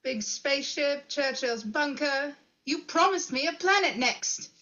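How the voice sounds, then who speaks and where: A woman's voice: high-pitched, lively intonation, measured pace, sharp tone, full character voice. A woman acting an impatient, teasing young companion of a time-traveller in a script table read, sardonic and challenging, on slightly dull lo-fi audio. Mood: impatient, pleading.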